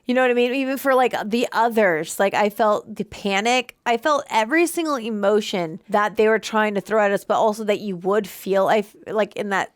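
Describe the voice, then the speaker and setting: Woman, mid pitch, emotional gushing reaction chat, repeats phrases, dry close mic. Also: brisk, few pauses, no echo. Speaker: movie reaction co-host. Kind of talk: casual chat.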